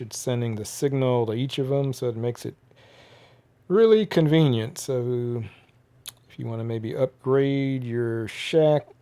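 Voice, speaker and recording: A man giving casual, unscripted commentary as a radio hobbyist, low-key and halting as he thinks aloud. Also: warm tone, smooth. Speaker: shortwave radio hobbyist presenting. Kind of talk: casual unscripted commentary while tuning and showing gear.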